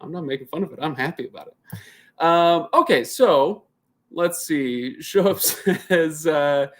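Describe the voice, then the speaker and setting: Chatty man thinking out loud. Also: on the high side, animated, unhurried. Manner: chatty, thinks out loud while reading viewer comments; rambling, restarts sentences often. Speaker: channel host. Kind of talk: casual live Q&A.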